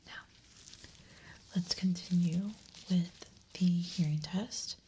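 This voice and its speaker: A woman whispering softly and breathily in a gentle, reassuring way, as an examiner in an ASMR medical roleplay. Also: lowish voice, fairly even intonation, takes it slowly, a little hiss or hum.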